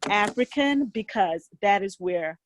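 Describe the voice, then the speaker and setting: A woman's voice: mid-range voice, expressive, measured pace, slight background noise. A woman on a video call speaking earnestly and emphatically, repeating key points. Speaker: participant.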